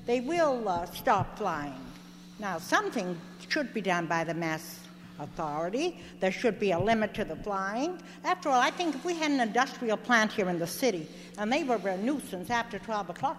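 An older woman, a local resident, making an aggrieved, insistent complaint at a public hearing. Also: medium pitch. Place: large meeting hall. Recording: echoey hall with faint hum.

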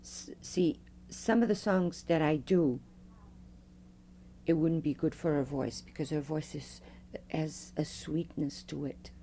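A middle-aged woman, a Fado singer, telling stories with a strong, loud voice in an interview. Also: low-pitched, slow pace, a little hiss or hum. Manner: animated storyteller, strong loud voice. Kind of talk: oral history interview.

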